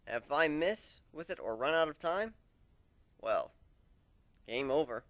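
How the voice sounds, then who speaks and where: A man narrating a dramatic first-person story in an expressive, conversational way. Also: a little high, a little slow, no echo.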